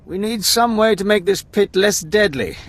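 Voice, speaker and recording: An Imperial major in a video game. He is affable and decisive, with a fairly high voice that moves freely up and down as he muses. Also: moderate pace. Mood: inquisitive. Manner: animated, musing. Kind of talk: video game cutscene acting.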